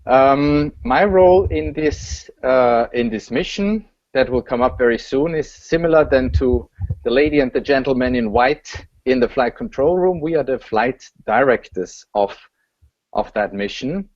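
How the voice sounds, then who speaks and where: A man from Austria, a flight director, introducing himself and recalling past missions in an earnest, measured way. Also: mid-range voice, expressive, a little mellow, no room sound, muffled recording. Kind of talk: casual panel introduction. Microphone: video call.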